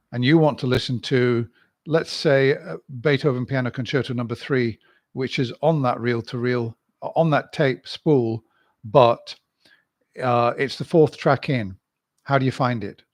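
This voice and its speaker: British man, dry close sound, deliberate talker. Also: medium pitch, no room sound. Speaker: host of a hi-fi and records channel. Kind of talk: opinionated informal monologue.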